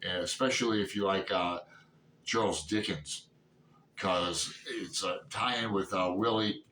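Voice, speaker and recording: A man in his sixties chatting casually and unscripted about books, halting and rambling as he thinks aloud. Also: sits low, fairly even intonation, pushed and bright. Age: 60s. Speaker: book reviewer vlogger. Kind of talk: casual unscripted book chat. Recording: roomy echo, distant mic, background noise.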